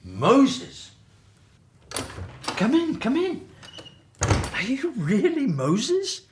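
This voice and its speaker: A man playing a cautious, devout older Hebrew slave father with guarded but expressive delivery in a scripted radio drama. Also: very high voice, very slow, noisy background, full character voice. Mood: astonished, moved.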